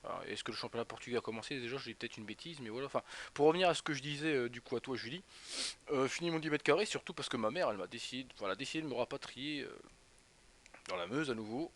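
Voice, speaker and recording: A man's voice: medium pitch, fast, a bit bright. A young man from northeastern France streaming live, chatting with viewers by name while casually commenting on a football match. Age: young adult. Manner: rambling, chatty, talks straight to viewers by name. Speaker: Twitch streamer.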